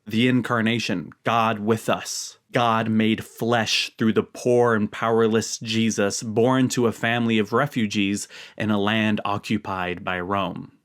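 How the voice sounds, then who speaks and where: A man's voice: fairly low pitch, flat delivery, unhurried, hardly any pauses, fairly bright tone. An American man speaking earnestly and emphatically, as a host giving religious commentary straight to his viewers. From United States. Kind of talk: earnest talk to camera.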